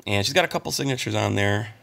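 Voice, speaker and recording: Hoarse, gravelly-voiced man chatting keenly. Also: lowish voice, animated, quick pace. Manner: enthusiastic, talking a little quiet. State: hoarse from singing and little sleep. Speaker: channel host. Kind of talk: casual collector vlog.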